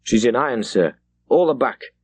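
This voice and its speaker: A man's voice: medium pitch, expressive, moderate pace, a little mellow, breathy edge. A man voicing an anxious, dutiful sailing master, urgently and deferentially reporting danger in an audiobook. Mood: alert. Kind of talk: dramatised audiobook character.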